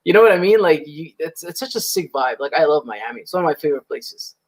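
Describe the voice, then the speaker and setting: A man's voice: on the high side, lively intonation, fast, rough recording. A man in his thirties from Lebanon, a guest talking casually and easily, swearing now and then. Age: 30s. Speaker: guest, snake breeder. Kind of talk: casual chat.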